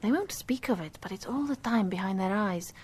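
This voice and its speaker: An actress playing a policeman's gentle, candid artist wife in a radio drama, intimate and reflective as she recounts things. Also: mid-range voice, expressive, fairly quick, breathy edge.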